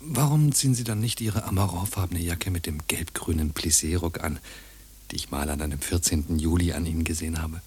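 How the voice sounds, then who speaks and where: An actress playing a reserved, courteous, quietly flirtatious madame in a radio play, husky and soft-spoken. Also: female voice, rumbling low, fairly quick, exaggerated voice. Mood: dry, courteous. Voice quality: husky, breathy.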